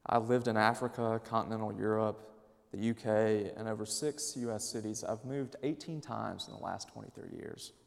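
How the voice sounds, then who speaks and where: A man in his late thirties from Mississippi, a seminary professor and minister, giving a measured, thoughtful personal talk to an audience. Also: on the low side, vocal fry. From Mississippi, USA. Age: late 30s. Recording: reverberant hall.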